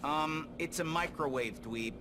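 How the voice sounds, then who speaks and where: An actor voicing a cocky, impatient tough teenage mutant turtle in a cartoon game, sarcastic and slangy. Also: male voice, on the high side, a little slow, background noise, lightly acted. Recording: loud music under the voice.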